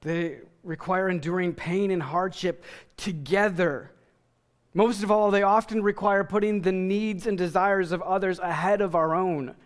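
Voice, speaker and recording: A man in his forties preaching a sermon as a pastor, earnest and emphatic with deliberate pauses. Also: high-pitched, fairly even intonation. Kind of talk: sermon to a congregation. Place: church stage indoors.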